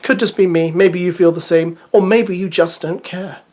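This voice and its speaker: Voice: mid-range voice, steady tone, normal speed, a little mellow. A vlogger who reads a reality show episode preview and then gives chatty, opinionated, exasperated asides.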